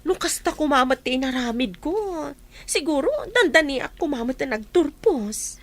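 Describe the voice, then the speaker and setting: Woman acting a lively high-voiced student friend. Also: moderate pace. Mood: supportive. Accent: Ilocano. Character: young female student, cautious supportive friend. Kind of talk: radio drama acting.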